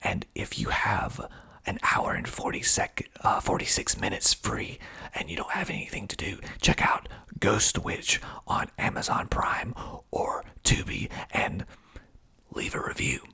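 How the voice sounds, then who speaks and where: A man speaking in a breathy, husky ASMR whisper, reading aloud and adding deliberate, dry asides. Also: sits low, animated, pushed and bright. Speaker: filmmaker hosting his own video.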